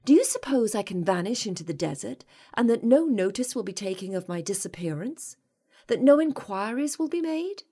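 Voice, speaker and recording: A woman's voice: mid-range voice, very animated, normal speed, a little mellow, husky, voice pushed up. A woman voicing a defiant, frightened young English female captive in an audiobook, indignant, breathless and dramatic. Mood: panicked, defiant.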